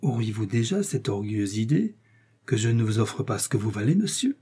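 A man reading an audiobook, voicing a powerful, scheming older cardinal who speaks in a smooth, persuasive, lofty way. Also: mid-range voice, normal speed, warm tone, pitched above the performer's own voice, close to an everyday voice. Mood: pressing.

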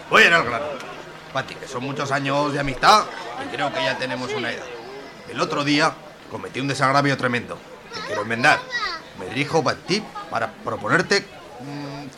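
A man's voice: mid-range voice, close to an everyday voice. A man playing an awkward middle-aged suitor who speaks in stiff, overly formal phrases, over crowd murmur. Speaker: character in a radio serial.

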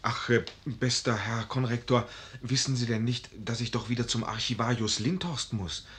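An actor in a radio play voicing a polite, dreamy and excitable young man with a hesitant, wondering manner. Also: mid-range voice, normal speed, natural voice. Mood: earnest. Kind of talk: German radio play acting.

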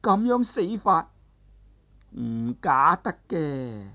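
A male storyteller voicing an aged woman martial arts master who is bitter and grieving, in a high strained falsetto that wavers between laughter and sobbing. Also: sing-song, drawn-out words, sharp tone, exaggerated voice. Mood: mocking. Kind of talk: traditional storytelling, voiced character dialogue.

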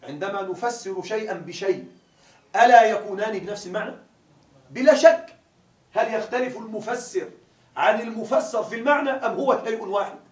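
High-voiced man teaching in an echoey classroom. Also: fairly quick. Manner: explains step by step, quizzes students with rhetorical questions. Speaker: Arabic grammar teacher. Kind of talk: classroom grammar lesson. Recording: echoey classroom from a distance, faint hiss.

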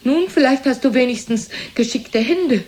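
Casting: proud old diva mother, fairly high voice, theatrical and needling, radio drama. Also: expressive, normal speed, darkish tone. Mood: haughty, dismissive. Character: proud, reproachful old mother, faded singer and actress. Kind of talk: German radio play acting.

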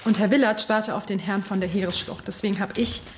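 A woman's voice: mid-range voice, steady tone, fairly quick, a little hiss or hum, lightly acted. An actress playing an earnest, eager female tax office worker who narrates and makes calls in a radio drama. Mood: recounting.

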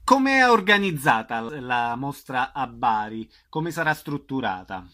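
A man hosting a radio interview, asking brisk, direct questions. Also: medium pitch, sing-song, bright, forceful tone. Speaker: radio host.

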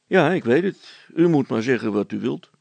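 A man's voice: medium pitch, animated, normal speed, gravelly, darkish tone, breathy edge, no echo. A man voicing a stolid, plain-spoken handyman in a story, matter-of-fact and respectful. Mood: resigned.

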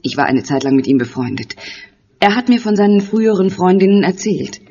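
A woman's voice: fairly low pitch, animated, brisk, mellow, slightly rough. Earnest, insistent middle-aged lady, muffled. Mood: earnest revelation. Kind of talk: radio play acting. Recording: muffled studio recording.

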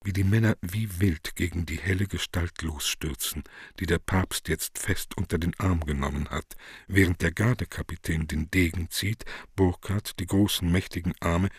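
A man's voice: low voice, steady tone, normal speed, breathy edge, no room sound, studio quality. A man narrating the stage directions of a radio play from a script.

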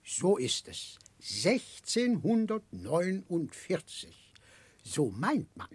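Casting: gentle, addled older gentleman, slow and husky, swooping pitch, confiding childlike manner, vintage German radio play. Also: wildly swooping pitch, no room sound, heavily acted. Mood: earnest, puzzled. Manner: chuckling, halting, confiding, rambling. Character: kindly, muddle-headed grey-haired eccentric gentleman, childlike and confiding. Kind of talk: 1960s German radio drama acting.

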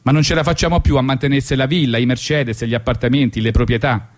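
A man's voice: medium pitch, fairly even intonation, quick pace, natural voice. A man voicing a smug, falsely regretful boss in a satirical monologue, with a hypocritically polite, self-justifying manner. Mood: feigned regret.

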